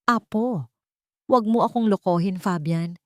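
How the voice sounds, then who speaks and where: A woman's voice: mid-range voice, unhurried, no echo, clean studio sound, voice pushed up, lightly acted. A woman voicing a domineering rich older mother, scornful and accusing, in a dramatic radio-drama story. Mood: accusing, indignant. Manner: sharp, disdainful, accusing.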